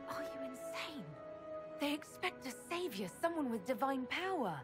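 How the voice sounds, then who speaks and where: A woman's voice: high-pitched, very animated, normal speed. A woman playing a resolute young fantasy game heroine, firm and direct in her delivery. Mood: incredulous. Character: resolute young female chosen hero, reluctant leader. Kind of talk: dramatic fantasy game dialogue. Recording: game audio with music behind.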